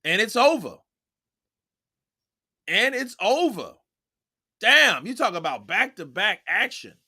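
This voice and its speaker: A man's voice: high voice, big pitch swings, takes it slowly, pushed and bright, husky. A young man doing casual, profane live-stream commentary on boxing and chatting with his viewers. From Texas, United States.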